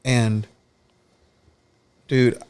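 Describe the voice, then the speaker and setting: A man's voice: medium pitch, flat delivery, drawn-out words, darkish tone, smooth. A man in his thirties, a guest on a podcast, talking directly and emphatically in a casual chat and repeating key phrases to drive his point home. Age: 30s.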